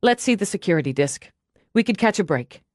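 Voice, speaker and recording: A woman's voice: mid-range voice, expressive, brisk, a little mellow, no echo, clean studio sound, lightly acted. A woman voicing a brusque, sardonic female police lieutenant in an audiobook, giving blunt orders. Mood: businesslike. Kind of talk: audiobook character dialogue.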